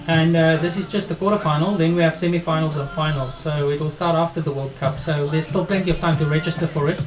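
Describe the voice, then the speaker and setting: A man's voice: a little high, steady tone, quick pace, over music. A middle-aged man with a South African accent, casually commentating his own game on a live stream, thinking aloud in an informal, matey way. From South Africa and Australia. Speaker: chess streamer and player.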